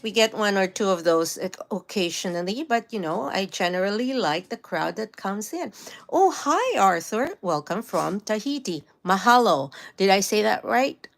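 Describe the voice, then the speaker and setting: Chatty Filipina woman with a gravelly edge. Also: medium pitch, lively intonation, normal speed, faint music behind. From Philippines. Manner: friendly, chatty, laughs often. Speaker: livestream host. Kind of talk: casual live stream chat, greeting viewers. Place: home in New York.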